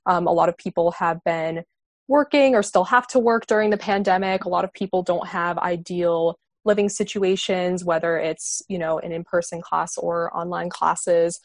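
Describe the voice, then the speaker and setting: A woman of about twenty from the Boston area, speaking as a student guest on a panel. She is direct and quick, with a few restarts along the way. Her voice sits on the low side, and the recording sounds dry and close. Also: steady tone, no echo. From Boston area, USA. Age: around 20. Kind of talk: discussion on a panel.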